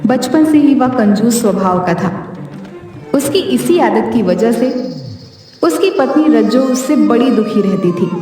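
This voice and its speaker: A woman's voice: fairly high pitch, expressive, a little slow, mellow. A woman giving scripted narration of a storybook tale for a cartoon. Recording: very echoey hall reverb, distant, music behind.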